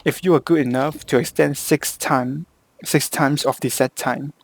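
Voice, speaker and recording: A man's voice: fairly high pitch, fairly even intonation, no room sound. A man from Hong Kong, a native Cantonese speaker with a Hong Kong English accent, narrating a game tutorial and often restarting and correcting himself. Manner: halting, with frequent restarts and self-corrections. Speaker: gameplay guide creator.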